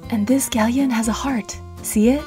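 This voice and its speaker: A woman's voice: fairly high pitch, fairly even intonation, moderate pace, mellow. A woman giving cheerful, punny scripted voice-over narration for a food vlog. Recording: music bed under the voice.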